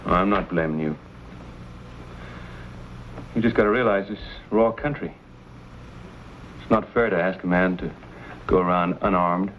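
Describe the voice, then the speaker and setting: Proud young frontier man in an old western, lowish voice, folksy and earnest, bit of noise. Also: a little slow, pauses often, fairly bright tone, low-quality recording. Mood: resolute. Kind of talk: Western drama acting.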